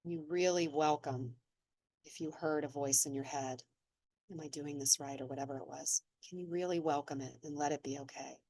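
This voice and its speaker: A woman slowly and gently leading a guided meditation, speaking in a soothing way. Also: deep voice, steady tone, husky, no room sound. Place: seated in an office chair.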